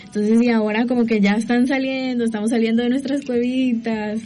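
Soft-spoken young woman. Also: fairly high pitch, fairly even intonation, brisk, faint music behind. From El Salvador. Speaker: youth group coordinator. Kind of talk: casual interview answers.

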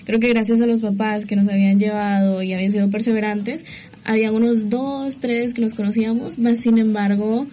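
A young woman from El Salvador, soft-spoken and earnest, telling her story as a guest. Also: on the high side, fairly even intonation, a little fast. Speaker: youth group coordinator. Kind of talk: casual interview answers.

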